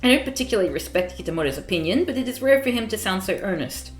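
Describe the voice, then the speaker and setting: A woman reading the lines of a calculating male company president in a visual novel, measured and formal. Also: mid-range voice, lively intonation, echoey room, soft background music, close to an everyday voice. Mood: cool, formal.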